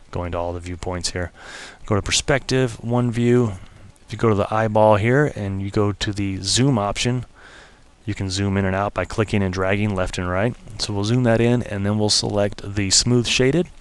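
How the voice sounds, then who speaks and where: A man giving a relaxed, step-by-step screen-recorded software tutorial, slightly losing his voice and clearing his throat now and then. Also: on the low side. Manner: relaxed, step-by-step explaining with casual fillers.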